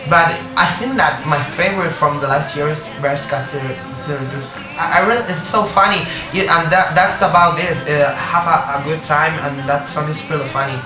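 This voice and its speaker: Young Spanish singer, man, accented English, gropes for words; echoey room, music in the background. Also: a little high. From Spain. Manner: halting, searching for words in English, frequent restarts. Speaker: singer, interview guest. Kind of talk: casual interview answers.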